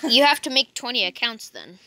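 Bright, jokey young woman gaming. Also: on the high side, lively intonation, brisk. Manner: jokey, rambling, self-correcting with "just kidding". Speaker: channel host. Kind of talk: casual gaming chat. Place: home bedroom. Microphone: phone.

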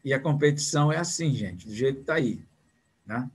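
A man's voice: medium pitch, lively intonation, brisk, husky. A man in his sixties giving an online business lecture over a video call, talking in a conversational way with repeated words and rhetorical questions.

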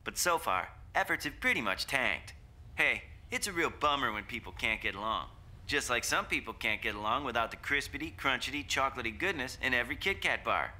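An actor playing a breezy young student news anchor in an animated comedy, with slick, slangy delivery. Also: male voice, mid-range voice, fairly quick. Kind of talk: youth newscast parody.

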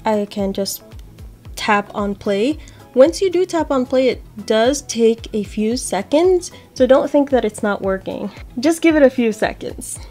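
A woman's voice: a little high, lively intonation, a little slow, darkish tone, faint music behind. A young adult woman hosting a tech tutorial, explaining steps casually. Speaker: host of accessibility tutorial videos. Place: small home office, indoors.

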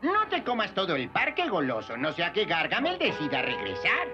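An elderly elf leader from a cartoon gives orders in Latin American Spanish. The voice is high and hugely animated, in a slightly dull soundtrack. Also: male voice, normal speed. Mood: cautioning. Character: commanding old male elf village leader. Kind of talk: cartoon dub acting. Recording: dull sound, music behind.

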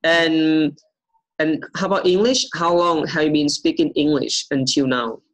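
A young man teaching English on a video call, repeating questions slowly to coax answers from his student. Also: on the high side, a bit bright, poor audio. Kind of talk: online English lesson over video call.

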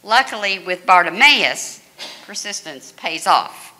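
Older lady pastor preaching, earnest and measured, church echo on a stand mic. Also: medium pitch, very animated, pushed and bright. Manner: measured, earnest preaching with dramatic quoted voices. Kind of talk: sermon. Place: church sanctuary, indoors. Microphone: stand mic at a lectern, far camera. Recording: reverberant church hall.

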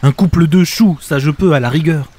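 A man's voice: fairly high pitch, a little fast, mellow, close to an everyday voice. An actor playing a sarcastic, overwhelmed everyman ark builder in a comedic audio drama, haggling in hesitant, colloquial speech.

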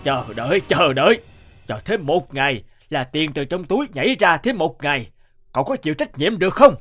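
A man's voice: a little high, expressive, moderate pace, fairly bright tone, faint music behind. A man voicing an impatient, demanding middle-aged factory boss in a cartoon. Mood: angry, impatient. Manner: demanding, brusque. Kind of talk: animated cartoon dialogue.

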